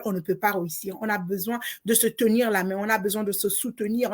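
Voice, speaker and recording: A woman from Congo, an online business coach, giving a motivational course introduction with emphatic, repetitive, preacher-like encouragement. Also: medium pitch.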